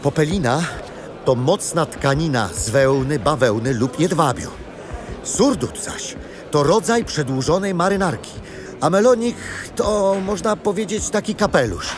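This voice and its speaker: Animated, cheerful grandfather. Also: fairly high pitch, measured pace, music in the background. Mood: explanatory. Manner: animated, explanatory storytelling to children. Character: cheerful grandfather guiding grandchildren on adventures through history. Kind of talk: children's audio drama acting.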